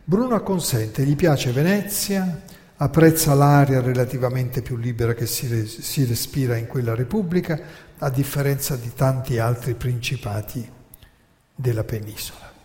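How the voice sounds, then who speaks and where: A man from Italy calmly narrating a historical story on the radio, dropping low at the ends of phrases. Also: normal speed. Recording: radio broadcast with long reverb.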